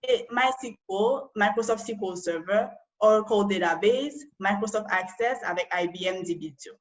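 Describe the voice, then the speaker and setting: A woman's voice: mid-range voice, unhurried, lo-fi sound. A Haitian woman and data analyst giving an online presentation, explaining step by step and often ending phrases with a questioning tag.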